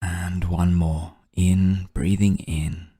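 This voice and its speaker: A man guiding a meditation in a soft, breathy, soothing voice, gently and unhurriedly, with long pauses. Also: low-pitched, level tone, no room sound, clean studio sound. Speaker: meditation guide. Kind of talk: guided meditation narration.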